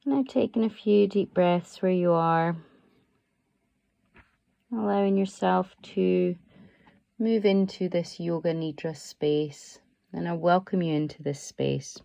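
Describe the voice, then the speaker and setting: A woman guiding a relaxation meditation as a yoga teacher, soothing and unhurried, offering gentle, permissive instructions. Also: fairly low pitch, steady tone, gravelly, dry recording.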